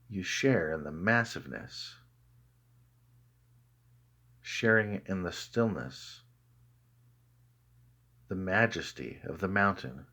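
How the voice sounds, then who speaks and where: A man narrating a guided meditation in a calm, measured way, with deliberate pauses between phrases. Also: low voice, slightly rough.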